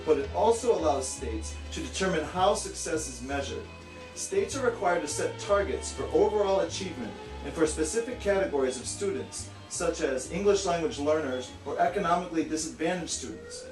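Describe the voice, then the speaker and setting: A man's voice: a little high, lively intonation, a little fast, music in the background. A man giving an earnest, matter-of-fact scripted educational explanation as the straight man of a puppet show. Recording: echoey, distant, faint noise.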